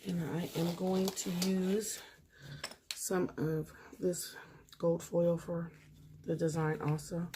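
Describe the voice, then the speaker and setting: A woman's voice: fairly low pitch, flat delivery, takes it slowly, noisy background. A woman losing her voice, chatting casually with viewers while she does a nail tutorial at a table at home. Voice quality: husky, gravelly. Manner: chatty, talks straight to viewers while working.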